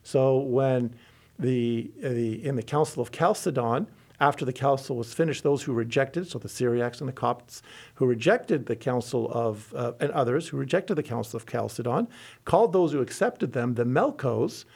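Gravelly-voiced middle-aged bishop. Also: male voice, medium pitch, animated, moderate pace, a little mellow. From Lebanon. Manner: earnest, teaching, with frequent restarts. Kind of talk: explanatory interview talk.

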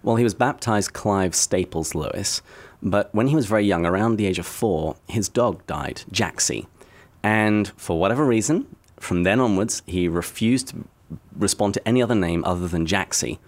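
A man with an English accent and a very low voice talks through history in a measured, explanatory way. He sounds like a podcast co-host walking a friend through a story, unhurried and clear. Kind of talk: casual podcast conversation.

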